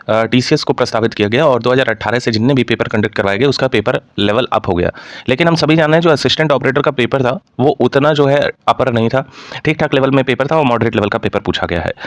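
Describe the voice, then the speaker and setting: A man's voice: medium pitch, no echo. Male coaching instructor, dry sound. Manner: mixes English terms into speech; instructive, addressing students directly. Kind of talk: explanatory exam analysis for students.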